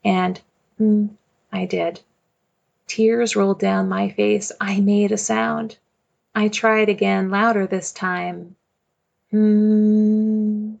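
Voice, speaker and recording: A woman recounts a personal story in a measured, reflective voice at a middling pitch. A gravelly, creaky texture runs through her speech, and she keeps coming back to the same quiet refrain. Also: little pitch movement, muffled recording.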